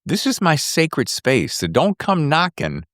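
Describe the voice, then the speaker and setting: A man's voice: a little high, huge pitch swings, unhurried, fairly bright tone, dry recording, studio quality. A man hosting a podcast, interviewing in a chatty way with emphatic, showy phrasing. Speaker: podcast host.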